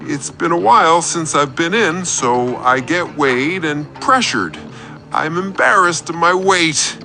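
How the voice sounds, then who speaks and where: Comedy radio caller, male actor: higher-pitched awkward injured man, sheepish oversharer, very animated, light music behind. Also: slow pace, exaggerated voice. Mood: sheepish, rambling.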